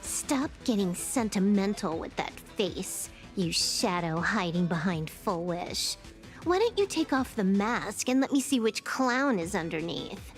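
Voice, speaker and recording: A woman's voice: mid-range voice, animated, measured pace, faint music behind, natural voice. A woman playing a cocky, playful young hacker in a video game, nonchalant and teasing as she drawls. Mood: suspicious, assertive. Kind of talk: scripted video game character acting.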